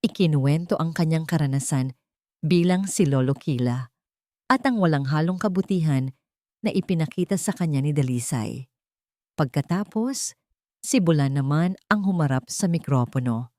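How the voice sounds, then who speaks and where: Female narrator, Filipino accent, lower register, dry close sound, for scripted romantic drama storytelling. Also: normal speed, warm tone, no echo.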